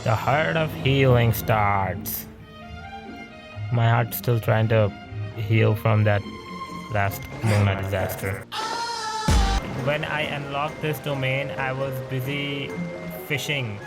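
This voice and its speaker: A man's voice: mid-range voice, takes it slowly, long pauses. A young man giving casual commentary on a game he is playing, wry and grumbling. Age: young adult. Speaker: gamer commenting on a playthrough. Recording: game music playing behind the voice.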